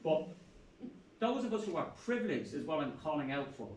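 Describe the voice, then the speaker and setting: A man's voice: a little high, big pitch swings, fairly quick, a little mellow, a little hiss or hum. An older Irish man, a professor, speaking bluntly and passionately at a university talk, with salty humor. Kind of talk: public talk at a university event. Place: lecture room. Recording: echoey room, speaker far from mic.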